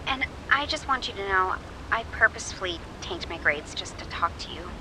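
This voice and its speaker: A woman's voice: medium pitch, expressive, normal speed, noisy background, lightly acted. A woman playing an awkward, flustered young radio advice host in a video game, rambling, correcting herself and dryly sarcastic. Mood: nervous, defensive. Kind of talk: naturalistic video game voice acting.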